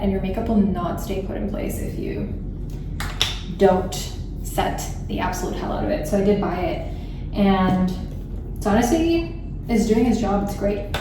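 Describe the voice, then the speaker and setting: Chatty young woman in an echoey room. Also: mid-range voice. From Thailand. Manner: chatty, frequent "like" fillers. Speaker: beauty vlogger. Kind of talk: casual get-ready-with-me chat. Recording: roomy echo, distant mic, background noise.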